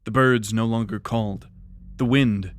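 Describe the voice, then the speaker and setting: A man narrating a fantasy audio drama in a measured, dramatic way. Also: on the low side, steady tone, no echo, clean studio sound.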